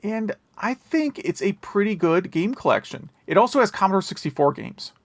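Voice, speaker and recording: A male podcast host with a creaky, gravel-edged voice at a middling pitch. He talks casually and rambles into asides, recorded dry and close. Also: very animated, normal speed, no room sound. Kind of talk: casual retro gaming news chat.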